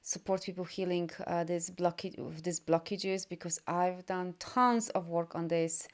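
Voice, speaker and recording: A woman's voice: lowish voice, steady tone, measured pace. A woman from Poland, speaking English as a second language, giving an upbeat, rambling live session as an energy healer and coach, full of fillers and restarts. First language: Polish. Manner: upbeat and encouraging. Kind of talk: live video session reading viewer comments.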